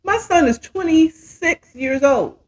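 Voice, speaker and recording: A woman's voice: very high-pitched, expressive, slow, a little mellow, poor audio. A woman in her fifties telling a story casually as a podcast host, chatty and emphatic, often checking in with the listener. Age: 50s.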